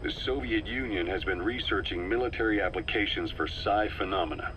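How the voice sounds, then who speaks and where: An actor playing a stern, hard-line military intelligence officer in a video game, measured, authoritative and accusing. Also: male voice, on the low side, a bit bright, lightly acted. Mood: grave, measured. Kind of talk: video game audio drama acting. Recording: dull, hissy cassette tape recording.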